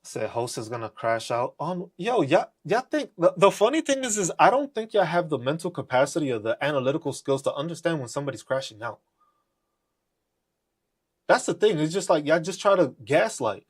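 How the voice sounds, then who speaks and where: A podcast host rants in a profane, confrontational way, mocking the people he argues with. His voice is a little husky and sits fairly high for a man. His pitch swings widely from line to line. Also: big pitch swings, fairly quick. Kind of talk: live political rant.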